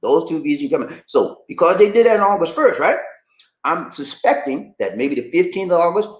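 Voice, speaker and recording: A man's voice: on the high side, animated, brisk. A man hosting a live stream, reading out viewer comments and chatting loosely and directly to his audience. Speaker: channel host reading viewer chat. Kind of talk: casual live-stream chat. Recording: echoey room.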